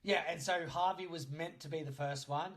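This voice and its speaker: A man's voice: on the high side, steady tone, normal speed, a bit bright. A man hosting a podcast in a casual, chatty interview style, often interjecting and teasing his guests. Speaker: podcast host.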